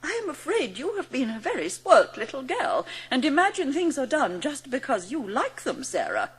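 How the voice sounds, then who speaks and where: A woman's voice: sits high, huge pitch swings, normal speed, slightly rough, exaggerated voice, poor audio. A woman playing a formal, bossy, snobbish headmistress of a girls' school in an audiobook drama. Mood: stern, scolding.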